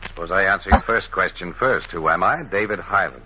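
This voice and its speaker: Actor as a deep-voiced menacing stranger, old radio. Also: male voice, fairly quick, natural voice. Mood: suave, teasing. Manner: suave, insinuating. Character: smooth, menacing stranger lurking at night. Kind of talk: old-time radio drama acting. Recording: muffled, noisy old radio recording.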